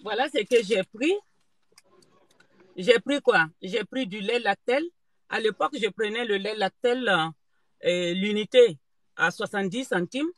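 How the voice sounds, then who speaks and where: A woman's voice: mid-range voice, measured pace, no room sound. A woman from Guinea, hosting a live stream and chatting casually about prices, talking in a hesitant way with many fillers.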